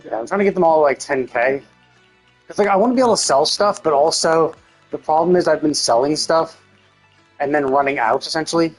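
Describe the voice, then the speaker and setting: A man's voice: on the high side, brisk, soft background music, rough recording. A man doing casual live game commentary, thinking aloud in a rambling way and swearing casually.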